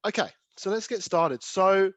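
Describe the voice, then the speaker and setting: Croaky-voiced man presenting a sales webinar. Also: sits high, expressive, unhurried, dry recording, dull audio. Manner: rambling, filler-heavy, self-correcting delivery. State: croaky voice from a chest infection. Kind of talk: casual presentation to an online audience.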